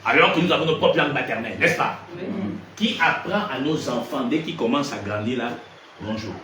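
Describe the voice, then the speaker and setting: A man's voice: medium pitch, a little fast. A man from Gabon preaching in to a congregation, keeps firing questions at the listeners. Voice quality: lively, questioning delivery that probes the audience. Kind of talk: sermon teaching to a congregation. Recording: echoey room from far off, noisy.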